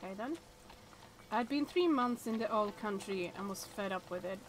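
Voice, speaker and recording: A woman's voice: mid-range voice, expressive, moderate pace, slight background noise, rough recording. A woman streamer reading a visual novel aloud, stumbling over words and chatting to viewers between passages.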